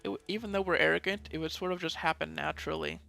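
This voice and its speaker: Male gamer, very creaky voice, bright tone, thinking aloud casually, faint music behind. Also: on the high side, fairly even intonation, quick pace. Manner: mild casual swearing, reads game text aloud. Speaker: let's play host.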